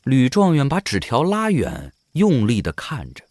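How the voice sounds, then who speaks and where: A man's voice: mid-range voice, wildly swooping pitch, measured pace, darkish tone, no echo. A man narrating an audio drama in a dramatic, scripted storytelling style.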